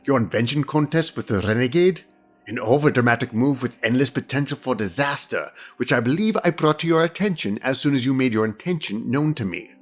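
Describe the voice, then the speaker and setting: A man playing a fastidious, analytical senior inspector, speaking with precise, formal pomposity in an audio drama. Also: mid-range voice, lively intonation, soft background music, natural voice. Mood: indignant, reproving.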